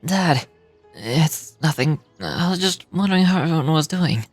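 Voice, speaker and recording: A woman's voice: sits low, lively intonation, moderate pace, husky, voice pushed down. A woman voicing a weary, reserved young man in a game, subdued and reassuring as he hides his troubles. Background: music.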